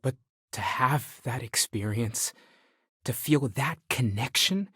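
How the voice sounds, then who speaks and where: A man's voice: medium pitch, animated, slow pace, fairly bright tone, breathy edge, no room sound, clean studio sound, heavily acted. A young man acting an earnest, curious, spiritually searching space explorer in a video game, thoughtful and sincere in his delivery. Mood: earnest, wondering.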